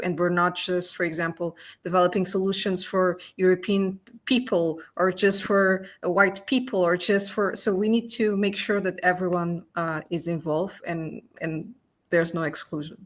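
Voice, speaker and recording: Woman panelist, low-pitched, earnest, restarts her sentences. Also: fairly even intonation, moderate pace. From Portugal. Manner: earnest, searching for words with frequent restarts. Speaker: panelist, engineer. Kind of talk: panel discussion.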